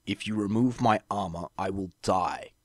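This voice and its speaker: A man voicing a wounded, resigned pony soldier in armor for an audiobook, with a low, gravelly, weary and formal delivery. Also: normal speed, no echo, studio quality. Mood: stoic, defensive. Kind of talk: audiobook character voice.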